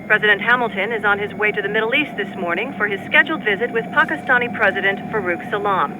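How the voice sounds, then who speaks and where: Man acting a high-pitched formal news reader. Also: noisy background, natural voice. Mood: neutral, formal. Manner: formal, measured. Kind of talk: scripted news reading.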